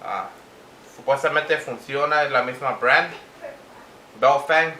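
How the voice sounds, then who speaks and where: A man with a bright, sharp-edged voice talks casually to viewers as he reviews products. His delivery is hesitant and halting, and he moves between two languages. The room is echoey, with a faint layer of noise behind him. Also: mid-range voice. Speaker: vlogger.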